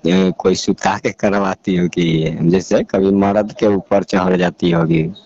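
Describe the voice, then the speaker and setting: A man's voice: low-pitched, slow pace, darkish tone. A man with a Bihari accent chatting casually on a phone call, explaining things in a colloquial way. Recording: dull lo-fi call recording, clear noise.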